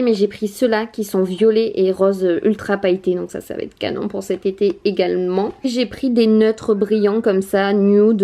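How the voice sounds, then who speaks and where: A woman's voice: mid-range voice, darkish tone, a little hiss or hum. A woman in her late twenties, vlogging at home by a window, chatty and enthusiastic with many fillers. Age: 20s. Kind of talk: casual haul vlog.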